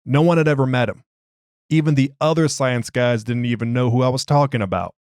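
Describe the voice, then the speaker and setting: A man's voice: medium pitch, fairly quick, a little mellow, clean tone, dry recording, clean studio sound, natural voice. A man voicing an agitated, streetwise prisoner who argues his case in slangy, ungrammatical, exasperated speech. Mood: frustrated. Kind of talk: dramatized interview log.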